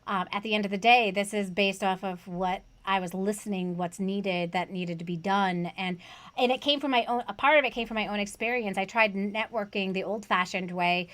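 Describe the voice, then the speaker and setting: A woman's voice: medium pitch, normal speed, fairly bright tone. A woman hosting a casual conversation about online tutoring, earnest and chatty, asking rhetorical questions. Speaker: host, online tutoring coach.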